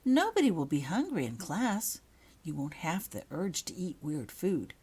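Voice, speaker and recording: A woman narrator acts out a schoolgirl friend from a storybook, reassuring and coaxing. The voice is husky, lifted above the narration, with an encouraging, urging manner. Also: sits low, very animated, unhurried, mellow, exaggerated voice.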